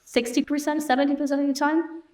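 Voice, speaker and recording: A woman raised in Argentina, a native Spanish speaker, talking as a guest in a casual interview, reflective and unhurried, thinking aloud. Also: high-pitched, little pitch movement, darkish tone. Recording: roomy echoey room.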